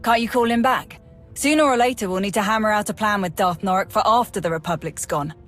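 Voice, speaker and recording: A capable military major from a sci-fi game, acted by a woman. Her voice sits at a middling pitch and she talks plainly, with a dry, wry edge, over background music. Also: quick pace, a bit bright, close to an everyday voice. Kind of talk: video game cutscene acting. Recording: music behind the voice.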